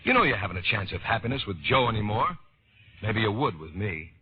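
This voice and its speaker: A man's voice: lowish voice, very animated, a little fast. An actor playing an eager, persuasive vaudeville man wooing his partner, insistent and melodramatic, in an old radio drama. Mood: urgent, coaxing. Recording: dull, noisy old radio broadcast.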